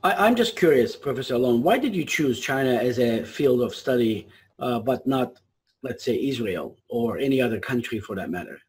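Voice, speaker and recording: A man's voice: mid-range voice, big pitch swings, normal speed, a little mellow, breathy edge. A man for whom English is a second language, politely moderating a webinar and reading out audience questions with some hesitation. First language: not English.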